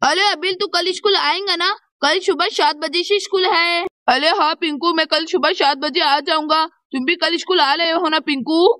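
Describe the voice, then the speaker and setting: Woman doing a high, bright, eager small child. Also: normal speed, few pauses, no echo, studio quality. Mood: chatty, curious. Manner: urging, exclamatory. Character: eager, chatty small child in a school story.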